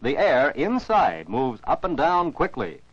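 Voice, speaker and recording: Lively American male educational film narrator, vintage sound. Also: fairly high pitch, a little slow, very noisy. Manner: didactic, carefully enunciated, posing questions. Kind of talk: scripted science narration for children. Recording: dull, dry 1949 film soundtrack.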